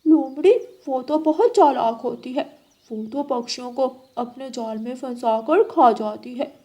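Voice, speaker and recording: A woman's voice: high-pitched, unhurried, warm tone. A woman voicing a trusting, stubborn young boy crow in a children's cartoon, talking eagerly. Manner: eager, cheerful.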